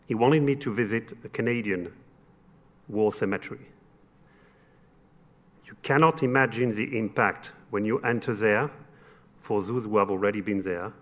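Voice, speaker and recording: A man from France with a French accent giving earnest, formal remarks as an event sponsor, in short measured phrases. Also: fairly low pitch. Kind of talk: formal closing remarks at a luncheon speech.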